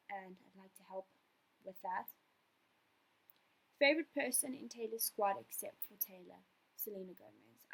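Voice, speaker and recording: A South African woman in her late teens, speaking earnestly and casually as she answers questions in an amateur vlog. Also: medium pitch. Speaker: fan account owner answering viewer questions. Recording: poor amateur sound.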